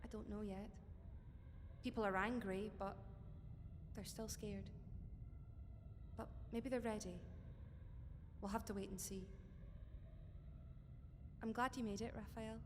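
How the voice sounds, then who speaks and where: A woman's voice: medium pitch, fairly even intonation, slow pace, long pauses, in a large hall, noisy background, natural voice. An actress playing a brave, steadfast woman of the resistance in an audio drama, earnest and reassuring. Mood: gentle, reassuring. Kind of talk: fiction podcast audio drama acting.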